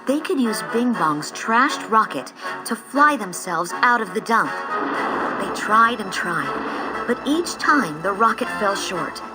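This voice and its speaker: A woman reading scripted storybook narration in an expressive, measured storytelling style. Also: medium pitch, rough recording. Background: music.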